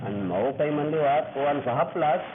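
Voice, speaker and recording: A man's voice: a little high, expressive, normal speed. A man with a Waray accent giving an informal spoken testimonial. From Samar, Philippines. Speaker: testimonial giver. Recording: tinny radio broadcast with loud hiss.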